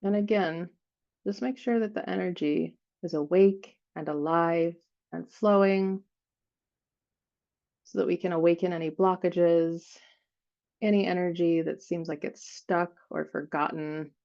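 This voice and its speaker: A woman leading an improvised guided meditation, speaking in gentle, unhurried phrases with frequent pauses. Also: lowish voice, fairly even intonation, gravelly, muffled recording.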